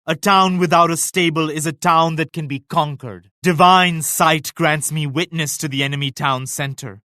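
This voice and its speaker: Casting: a devout Mughal emperor for a strategy game, fairly high male voice, grave formal declamation, dry studio sound. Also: fairly even intonation, normal speed, bright, forceful tone, no echo. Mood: confident, pious. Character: devout, solemn, regal Mughal emperor, grown man. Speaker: video game voice actor. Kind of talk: scripted game character lines.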